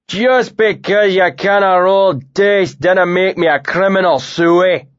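A man voicing a loud, swaggering, bearded male mercenary who bullies with a thick northern accent, drawing out his taunts. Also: high-pitched, no room sound, lo-fi sound, pitched above the performer's own voice. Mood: mocking. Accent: northern English dialect, put on. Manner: mocking, swaggering, drawn-out.

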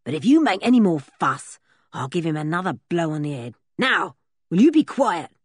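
A woman voicing a rough, menacing male captor in a storybook reading, curt, threatening and commanding. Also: on the low side, big pitch swings, normal speed, no room sound, clean studio sound.

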